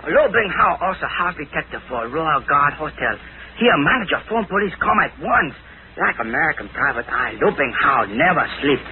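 An eager little private eye with a put-on Chinese accent, high in pitch and bouncing up and down. He brags in flowery broken English, in the manner of old radio comedy relief. The recording is tinny. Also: very animated, moderate pace, slight background noise. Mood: eager, boastful. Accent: mock Chinese. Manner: pompous broken English, flowery self-praise. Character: eager, boastful comic Chinese private detective. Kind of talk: 1940s radio acting.

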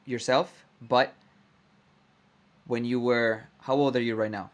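A man hosting a podcast, chatting casually and warmly echoing his guest's words back. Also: medium pitch, steady tone, takes it slowly. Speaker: podcast host. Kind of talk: casual interview chat.

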